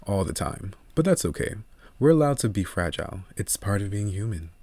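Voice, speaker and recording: A man's voice: lowish voice, very animated, fairly quick, warm tone, dry recording. A man voicing a friendly, reassuring male friend in an audiobook, encouraging and sincere. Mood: soft, reassuring. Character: friendly, reassuring male childhood friend, easygoing helper with tools.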